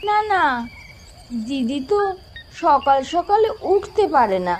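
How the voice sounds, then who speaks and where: Woman voicing a high-pitched, naive little village girl. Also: big pitch swings, slow pace, a little hiss or hum, heavily acted. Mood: earnest. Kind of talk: Bengali moral-tale cartoon voice acting.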